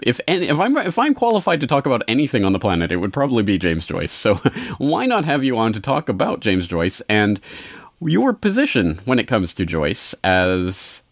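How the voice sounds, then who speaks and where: A man's voice: mid-range voice, huge pitch swings, moderate pace, no room sound, clean studio sound. A man hosting a podcast, talking in a rambling, conversational way with many fillers. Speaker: podcast host.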